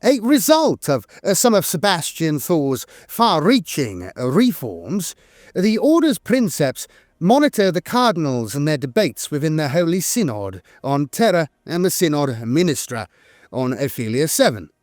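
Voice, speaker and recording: Higher-pitched man reading lore, lively intonation. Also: a little slow, no echo, studio quality. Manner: hesitant reading, frequent uhs mid-phrase. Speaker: narrator of a lore channel. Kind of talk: scripted narration, reading lore aloud.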